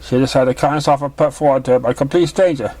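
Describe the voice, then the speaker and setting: A man's voice: mid-range voice, steady tone, rapid-fire, a little mellow, no echo. A man with a mid-range voice narrates a piece of fan fiction. He reads like an amateur, unevenly, tripping over words and correcting himself as he goes. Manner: stumbling, uneven reading with misreadings.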